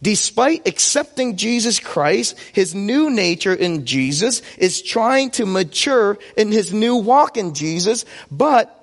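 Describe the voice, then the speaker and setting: A man in his forties, a native Vietnamese speaker, preaching conversationally with personal stories and questions he answers himself. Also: high-pitched. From United States. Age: 40s. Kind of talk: sermon with personal anecdotes. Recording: long hall reverb.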